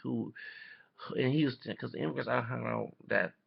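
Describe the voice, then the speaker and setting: A man from Texas giving casual, rambling opinion commentary into a webcam, halting and often repeating or correcting himself. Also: medium pitch, vocal fry, husky. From Texas, United States. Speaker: vlogger. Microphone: webcam microphone. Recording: dull lo-fi webcam audio.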